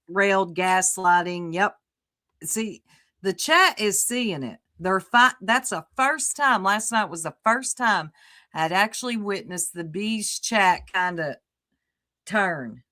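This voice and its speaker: A woman co-hosts a livestream, speaking slowly and deliberately. Her voice sits lowish but sounds bright and sharp. She is blunt and sarcastic, reading chat and passing judgment. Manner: blunt, sarcastic, reads chat comments aloud. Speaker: livestream co-host. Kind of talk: casual livestream commentary.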